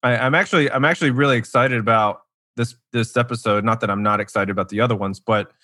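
A man's voice: mid-range voice, fast, sharp tone. A man co-hosting a podcast, chatting eagerly and rambling a little. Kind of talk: casual podcast chat.

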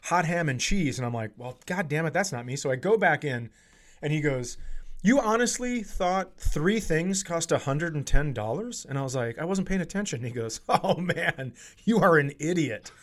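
A man hosting a comedy podcast, telling casual, profane stories in an animated way and acting out the quotes. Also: a little high, moderate pace. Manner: animated, profane, acts out quotes in his anecdotes.

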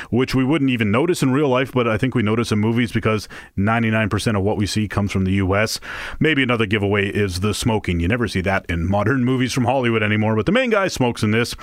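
A man's voice: mid-range voice, a bit bright, dry recording. Man, dry close studio sound. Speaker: co-host and film reviewer. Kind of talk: conversational radio movie review and chat. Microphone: close studio microphone.

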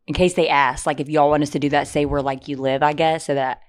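A woman co-hosting a casual livestream, talking in an animated, slangy, giggly way. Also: low-pitched, fast, no room sound, studio quality. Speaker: co-host.

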